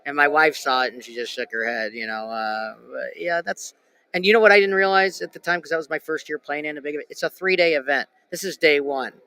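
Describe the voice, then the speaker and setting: A man's voice: on the high side, brisk, sharp tone, poor audio. A man hosting a casual sports betting talk show, rambling with many restarts and fillers. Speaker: podcast host. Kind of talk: casual chat.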